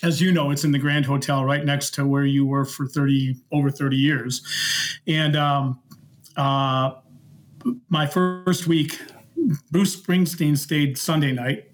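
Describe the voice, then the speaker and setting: A middle-aged man telling anecdotes in a casual, unhurried, conversational way, often retelling dialogue as he goes. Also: mid-range voice, steady tone. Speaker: podcast guest, former concert security worker.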